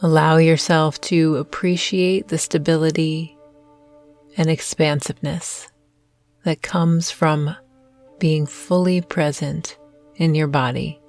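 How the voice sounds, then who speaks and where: A woman guiding a meditation in a gentle, soothing, measured way with long pauses. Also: sits low, fairly even intonation. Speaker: meditation guide. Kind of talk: guided meditation narration. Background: soft meditation music.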